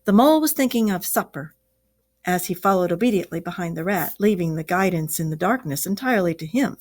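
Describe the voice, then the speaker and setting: A teacher reading a classic animal story aloud to children in a slow, expressive storytelling voice. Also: female voice, on the low side.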